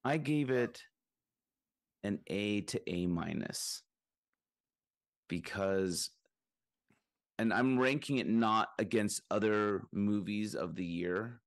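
A man's voice: lowish voice, takes it slowly, long pauses. A man co-hosting a podcast, chatting casually about films in a rambling way with many fillers and restarts. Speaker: podcast co-host.

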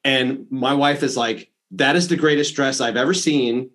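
A man hosts a casual interview, reading out questions and drifting into a story. His voice sits at an ordinary middle pitch for a man. He talks in a relaxed way, often pausing and restarting his sentences. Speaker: interviewer host.

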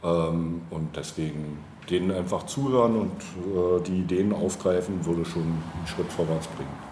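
A man giving reflective, deliberate interview answers on policy, often pausing and searching for his phrasing. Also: low voice, a little mellow. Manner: hesitant, deliberate, halting phrasing. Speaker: political candidate being interviewed. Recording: roomy, noticeable background noise.

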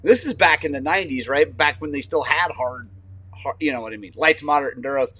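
A man in his forties, a podcast host telling stories in casual chat, animated and quick to laugh. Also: a little high, breathy edge, no room sound. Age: 40s. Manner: animated storyteller, laughs often.

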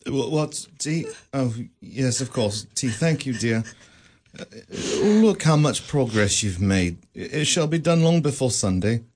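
An actor playing a gentle poor clerk and grieving father in a radio drama, soft and halting. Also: mid-range voice, lively intonation.

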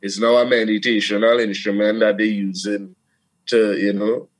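A man from Trinidad with a Trinidadian accent, a steelpan player and producer talking in a casual interview, animated, with frequent restarts and "you know". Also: fairly low pitch, normal speed, low-quality recording.

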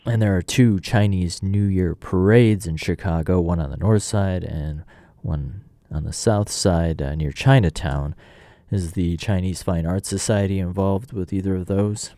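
A man hosts an arts radio show in a measured interviewing style. His voice is low and dark, recorded very dry with no room sound. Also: no echo. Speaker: radio host.

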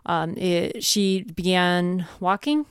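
A woman with a smooth, resonant voice talks in a fairly low register, recorded dry and close. She gives advice conversationally, in an earnest and emphatic way. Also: takes it slowly, no echo. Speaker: podcast co-host, homeschooling mother.

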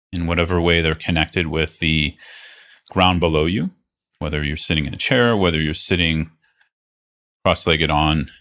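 A man leading a guided meditation as a teacher, speaking in an unhurried, reassuring way with phrases broken by pauses. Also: rumbling low, fairly bright tone. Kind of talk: guided meditation, gently instructive.

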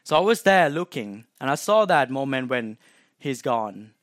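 A man's voice: mid-range voice, big pitch swings, moderate pace, fairly bright tone, no room sound, clean studio sound. A man in his twenties from Thailand with a Thai accent, sharing a personal faith testimony with an audience in a chatty, informal way, full of "um"s and restarts. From Thailand (Chiang Mai). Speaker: guest speaker sharing testimony.

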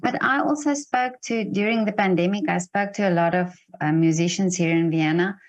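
A woman's voice: medium pitch, moderate pace, highs cut off. A woman, an Afrikaans speaker, interviewing a guest and responding with encouraging remarks. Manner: warm, encouraging, frequent backchannels. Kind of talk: interview conversation.

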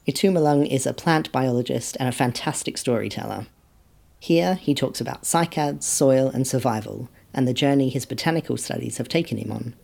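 A man hosting a podcast, reading a friendly, measured introduction to the next piece. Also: mid-range voice, creaky, darkish tone. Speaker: podcast host. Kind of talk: scripted introduction.

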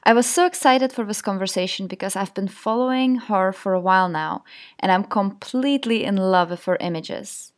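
A woman's voice: medium pitch, normal speed. A woman hosting a podcast, giving an upbeat, scripted-sounding introduction and asking questions. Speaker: podcast host.

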